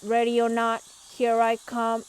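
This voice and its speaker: A woman voicing an eager, proud robot cat in a children's storybook, with booming, declarative delivery. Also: completely flat delivery, unhurried, clear, resonant tone, close to an everyday voice. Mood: eager, loud. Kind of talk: children's storybook read-aloud.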